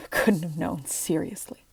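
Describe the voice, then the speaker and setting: A woman's voice: deep voice, slow pace, creaky, breathy edge, no echo, heavily acted. A woman playing a bitter, self-blaming navy veteran submarine captain in an amateur audio drama. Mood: bitter, angry.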